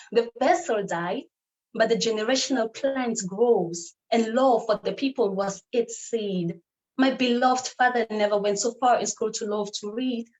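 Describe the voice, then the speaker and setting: A woman's voice: a little high, darkish tone, low-quality recording. A woman from Cameroon performing a spoken word poem in a rhythmic, declamatory way, with repeated refrains.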